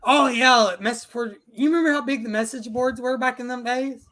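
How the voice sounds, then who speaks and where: A man's voice: very high voice, brisk, bright, forceful tone, a little hiss or hum. A man hosting a casual podcast and reading out live chat, emphatic and profane, with frequent restarts.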